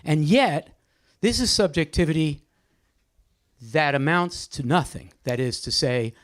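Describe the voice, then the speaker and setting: A man leading a poetry discussion in a lively, questioning and encouraging seminar style. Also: fairly high pitch, unhurried. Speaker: discussion leader. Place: live group event with audience microphones.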